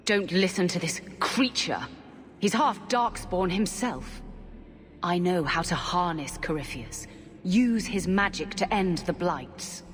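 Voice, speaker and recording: An actress playing a determined, haughty warrior commander in a fantasy game, stern, imperious and insistent. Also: female voice, fairly low pitch, expressive, slow. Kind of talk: dramatic fantasy game acting. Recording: long stone-hall reverb.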